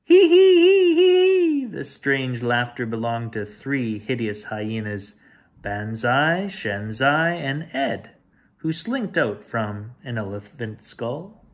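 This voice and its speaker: A man in his forties reading a children's picture book aloud as a storyteller, deliberate and expressive. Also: mid-range voice. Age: 40s. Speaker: storyteller reading bedtime stories. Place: small home room with shelves, indoors.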